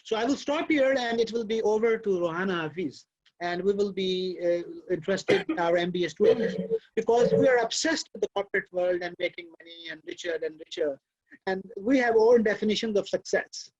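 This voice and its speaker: A man hosting an online lecture session, talking in a halting way with many fillers and restarts over a video call. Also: sits high, darkish tone, highs cut off. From Pakistan. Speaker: host and professor.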